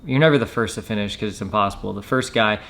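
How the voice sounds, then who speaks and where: A man telling a personal story in a casual, matter-of-fact way with hesitant pauses. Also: lowish voice, vocal fry, a bit bright. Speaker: storyteller, former Marine recruit.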